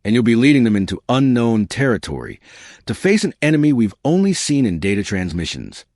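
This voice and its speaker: A man's voice: medium pitch, sing-song, a little fast, a little mellow, dry recording, studio quality. A man voicing a stern, formal admiral in a science fiction audiobook. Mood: sober. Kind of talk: audiobook character dialogue.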